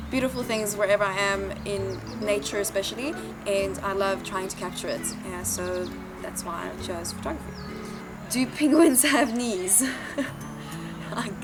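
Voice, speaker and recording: A young woman from Sweden, reading questions aloud and answering them casually, often laughing and thinking aloud when unsure. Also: medium pitch. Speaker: interview guest.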